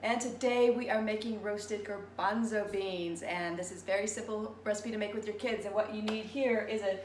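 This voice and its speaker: A woman's voice: mid-range voice, steady tone, normal speed. A woman in her forties hosting a home cooking demonstration, cheerful and instructive, talking with a child helper. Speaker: dietitian hosting a kids' cooking segment. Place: large home kitchen. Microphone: camera across the room. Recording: echoey kitchen, distant mic, background noise.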